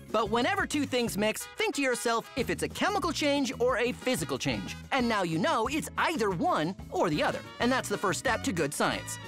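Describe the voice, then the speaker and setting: A middle-aged man hosting a children's science show with upbeat scripted narration. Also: very high voice.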